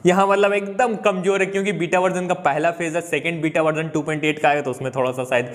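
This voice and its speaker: Young Indian man, upbeat gaming YouTuber, slightly high voice, chatty and excited. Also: fast talker, a little hiss or hum. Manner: upbeat, chatty, mixes English words into Hindi. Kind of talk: excited gameplay update commentary.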